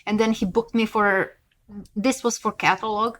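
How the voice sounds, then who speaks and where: A woman's voice: a little high, steady tone, fairly quick. A woman from Serbia, a podcast co-host and former model, telling candid stories in a chatty way with many fillers and restarts. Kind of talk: casual chat.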